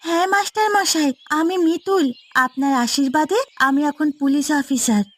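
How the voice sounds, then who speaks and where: A woman's voice: high-pitched, animated, moderate pace. A woman voicing a devoted, respectful young policewoman in a cartoon fairy tale. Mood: respectful, joyful. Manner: respectful, earnest, grateful. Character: devoted grown daughter, earnest young policewoman.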